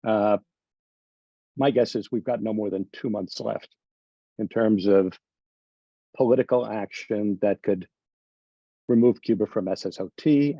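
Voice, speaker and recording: Man, low dark voice, direct activist talk, dull lo-fi dry call audio. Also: no echo. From United States. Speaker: webinar panelist, activist. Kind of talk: panel discussion, political commentary.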